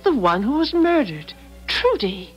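An actress in a 1970s radio drama playing a gentle, fretful, long-suffering wife in a genteel theatrical manner. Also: female voice, high voice, big pitch swings, unhurried, slightly rough, heavily acted, low-quality recording. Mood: wistful. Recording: 1970s radio broadcast, music behind.

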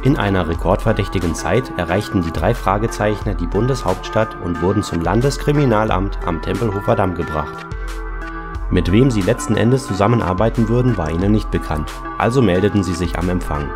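A man's voice: deep voice, brisk. A man playing the solemn narrator of a detective audio drama, reading scripted storytelling. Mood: measured. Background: music.

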